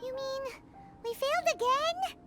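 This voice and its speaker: A woman voicing a small, excitable, childlike fairy companion in a video game, with a squeaky voice. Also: a little slow, loud music behind the voice. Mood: disappointed.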